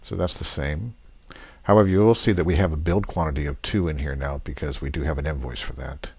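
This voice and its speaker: A man giving an unscripted, matter-of-fact software walkthrough, going methodically step by step as a demonstrator on a screen recording. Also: very deep voice, fairly even intonation, quick pace, slightly rough, dry recording.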